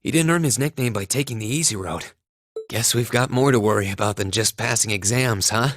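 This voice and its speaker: A man's voice: on the low side, a little fast, fairly bright tone, roomy sound, long reverb tail, natural voice. An actor playing a thoughtful young male academy student, speaking casually and reflectively in a video game. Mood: casual, friendly. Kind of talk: game voice acting, musing dialogue.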